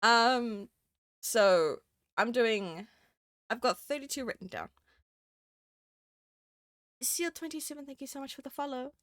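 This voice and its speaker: A woman's voice: a little high, animated, a little slow, long pauses, fairly bright tone, dry recording, clean studio sound. A woman streaming live, chatting casually with many fillers and giggles, a bit sniffly from a cold. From Southern Hemisphere. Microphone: desk microphone.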